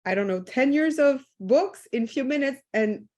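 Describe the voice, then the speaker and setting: A French woman with a French accent interviewing a guest over a video call, apologetic and quick to laugh. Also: high voice, animated, a little mellow. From France. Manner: apologetic, giggly questioner. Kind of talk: interview conversation.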